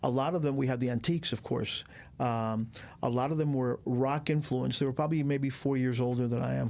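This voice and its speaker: A man in his sixties, from Cuba, speaks as a guest with a gravelly, fry-tinged voice at an ordinary middle pitch. He rambles through his stories in a relaxed, easygoing way, leaving frequent pauses and fillers. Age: 60s. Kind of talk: casual interview chat.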